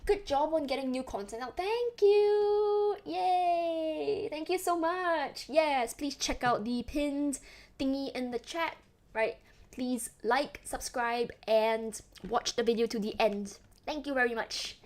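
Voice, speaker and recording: A young woman from Singapore with a softened Singaporean accent, chatting casually with her stream chat, rambling with lots of fillers and laughs. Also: sits high, takes it slowly. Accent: Singaporean, softened toward Western English.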